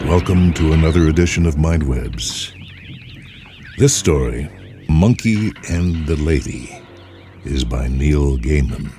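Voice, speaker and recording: A man hosting and narrating a short story in an expressive, unhurried storytelling way. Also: very deep voice. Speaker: host and narrator. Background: faint music.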